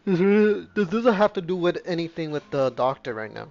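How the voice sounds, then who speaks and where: A man's voice: on the high side, big pitch swings, brisk, vocal fry, darkish tone. A man chatting casually and in a rambling way while live streaming a game. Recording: dull lo-fi mic with game music. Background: faint game music.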